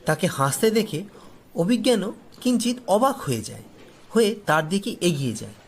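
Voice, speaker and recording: High-voiced, expressive male storyteller. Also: normal speed, slight background noise. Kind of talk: dramatic scripted horror story narration. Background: faint background music.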